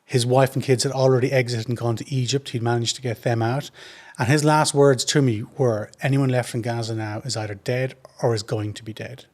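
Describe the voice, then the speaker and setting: A man with an Irish accent, a trauma surgeon, answering interview questions in an earnest, measured way with frequent restarts. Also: medium pitch, steady tone. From Ireland.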